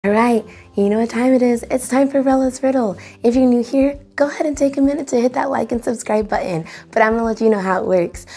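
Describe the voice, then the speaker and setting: A young woman hosting storytime for children, speaking in a lively, encouraging way straight to her young audience. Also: fairly high pitch, fast, few pauses, breathy edge. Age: young adult. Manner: encouraging, addresses children directly. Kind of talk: lively instruction and read-aloud for young children.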